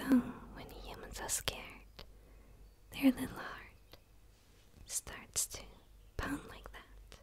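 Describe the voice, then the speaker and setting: An eager, curious female alien, acted by a woman whispers softly in an ASMR roleplay. Her voice sits high and stays very even in pitch. She is soothing and breathy, right up close to the mic. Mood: fascinated, reassuring. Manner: soft, slow, soothing, breathy delivery. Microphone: close microphone, speaking straight to camera.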